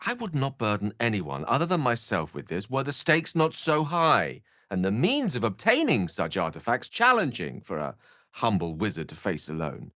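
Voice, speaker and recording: A bookish British wizard from a fantasy video game. His voice sits fairly low but moves with very lively intonation, in a close, dry recording. He is articulate and theatrical, with a wry, verbose turn of phrase. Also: moderate pace, no room sound. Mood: soft, earnest. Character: eloquent, wry, bookish male human wizard.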